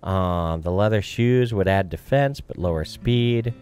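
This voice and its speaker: A man streaming a video game with playful, excitable commentary. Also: deep voice, unhurried. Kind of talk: playthrough commentary with read-aloud dialogue. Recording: music behind. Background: video game music.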